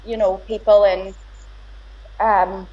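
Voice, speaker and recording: A woman's voice: mid-range voice, level tone, slow pace, a little mellow. A woman with a broad Belfast accent, talking as a guest in a casual, hesitant conversational way. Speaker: guest, boxer's partner. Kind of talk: casual interview. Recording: slightly dull lo-fi sound.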